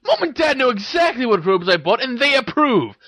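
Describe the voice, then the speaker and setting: A man's voice: high voice, big pitch swings, brisk, no room sound, pitched above the performer's own voice. A man voicing a fiery, proud teenage witch in an audiobook-style story, with emphatic delivery. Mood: furious. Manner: scolding, emphatic when angered. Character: fiery, indignant teenage witch, girl with stubborn pride.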